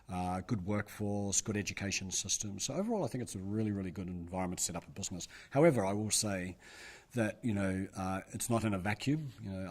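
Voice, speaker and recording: A middle-aged man gives interview answers in a deep, low-pitched voice. He talks quickly and thinks aloud, hedging his points as he goes. He sounds like a business advisor weighing his words. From New Zealand. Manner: thoughtful, hedging with frequent "I think" and "you know".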